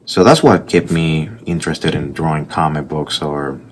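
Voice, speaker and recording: A man's voice: rumbling low, fairly even intonation, normal speed, slight background noise. A man from Puerto Rico with a strong Puerto Rican accent, telling rambling stories with restarts as he talks through his sketchbook art in a casual voice-over. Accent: Puerto Rican Spanish. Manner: rambling storytelling with restarts and asides. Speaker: artist narrating his sketchbook process.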